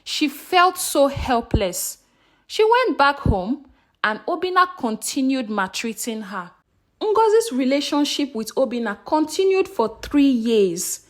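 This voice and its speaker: Very high-pitched, animated woman storyteller. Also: a little slow. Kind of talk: dramatic scripted folktale narration.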